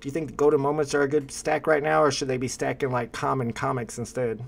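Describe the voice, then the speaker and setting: A man's voice: mid-range voice, flat delivery, quick pace, gravelly. A young man hosting a casual livestream from a room at home, chatting with a guest and reading viewer comments aloud. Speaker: livestream host.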